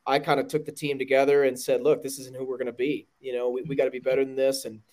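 Brief: American man, loud and earnest, emphatic interview guest. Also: medium pitch, level tone, fast talker. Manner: earnest, emphatic, with frequent restarts. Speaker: podcast guest, running team director. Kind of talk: conversational interview.

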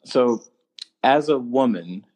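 A man's voice: mid-range voice, lively intonation, takes it slowly, darkish tone. A man hosting a conversational podcast interview in an affirming, appreciative way. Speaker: podcast host.

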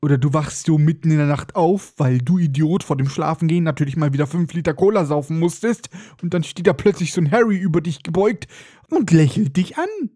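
A man's voice: a little high, expressive, fairly quick, mellow, no echo, studio quality. A man hosting a comedic book-recap podcast, sarcastic and irreverent, his nose stuffed up from a cold. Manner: sarcastic, irreverent, mocking asides. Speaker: podcast host.

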